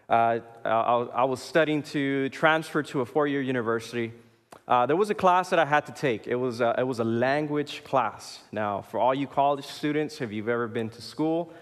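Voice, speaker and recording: A male pastor in his thirties delivers a sermon in a loose, chatty way, trailing off with fillers and restarting mid-sentence. His voice sits at an ordinary middle pitch, and it rings out through a church hall with a long reverb. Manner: conversational, halting with fillers and restarts. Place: church sanctuary.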